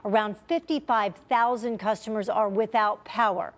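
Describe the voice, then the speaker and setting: A woman's voice: mid-range voice, fairly even intonation, moderate pace. A woman anchoring live breaking news and putting questions to a guest in a broadcast style.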